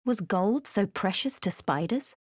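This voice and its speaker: An actress playing a thoughtful adventurer in a video game, with a measured, dramatic storytelling delivery. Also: female voice, medium pitch, animated, darkish tone, no room sound, studio quality. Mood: measured narration. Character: thoughtful adventurer woman recounting her journey. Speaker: voice actor for a player character. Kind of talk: scripted game narration and character lines.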